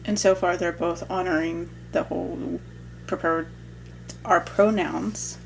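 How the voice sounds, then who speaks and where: A young adult woman with a low, strongly gravelly voice, talking casually to camera. She sounds relaxed and reflective and drops in joking asides. A faint hum runs behind her. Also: slow pace, low-quality recording. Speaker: vlogger. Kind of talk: casual chat.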